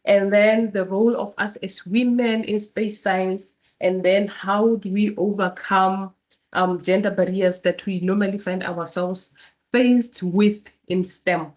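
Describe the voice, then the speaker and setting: Woman w a cold giving a keynote over a video call, lots of ums, kinda halting. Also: medium pitch, steady tone, a little mellow, poor audio. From Botswana. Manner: hesitant, many ums. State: has a cold. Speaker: keynote speaker. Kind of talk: online webinar presentation.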